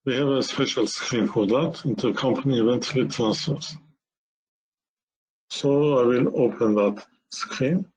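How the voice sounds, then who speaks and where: Male webinar presenter, very unhurried and halting, medium pitch, walking through software steps. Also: low-quality recording. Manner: halting, step-by-step explaining in short phrases.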